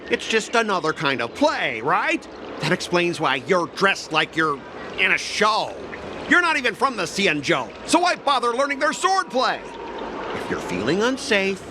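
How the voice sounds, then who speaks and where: A man's voice: high-pitched, sing-song, measured pace, noisy background. An actor voicing a smug, mocking young mech salesman in a video game, with a sneering, theatrical manner. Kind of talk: video game cutscene acting.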